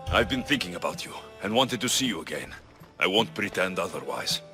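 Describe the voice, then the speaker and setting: An actor playing a blunt, wry mercenary hero in a video game set in ancient Greece, dry and direct. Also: male voice, sits low, very animated, fairly quick, pushed and bright, soft background music, heavily acted. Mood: tender, guarded. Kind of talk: video game character acting.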